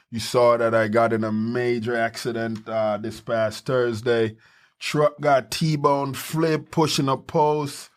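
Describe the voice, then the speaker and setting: A man hosting a podcast in a studio, talking casually and energetically, often repeating quick words of agreement. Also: medium pitch. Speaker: podcast host. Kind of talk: casual chat.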